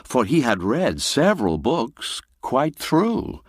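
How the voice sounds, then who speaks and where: A man narrating a classic tale in a scripted storytelling style, with an expressive, lilting delivery. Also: medium pitch, takes it slowly, no echo, clean studio sound.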